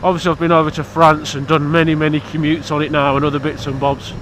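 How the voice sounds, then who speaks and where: A man's voice: a little high, flat delivery, quick pace, fairly bright tone, slight background noise. A British man, stuffy from hay fever, chatting casually to camera about his motorbike outdoors in a blunt, cheeky, rambling way. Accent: British English. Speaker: motorcycle vlogger.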